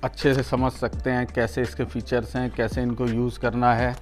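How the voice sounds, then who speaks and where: A man's voice: medium pitch, flat delivery, normal speed, faint music behind. An Indian man hosting a product unboxing and demonstration, explaining things step by step to viewers, often repeating his points and addressing them in a friendly, familiar way. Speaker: product reviewer and channel host.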